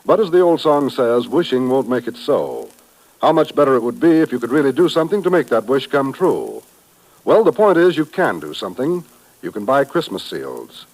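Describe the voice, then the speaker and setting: A man's voice: mid-range voice, sing-song, normal speed, a little mellow, rough recording. An American man announcing on mid-century radio, reading a scripted appeal in an earnest, polished manner.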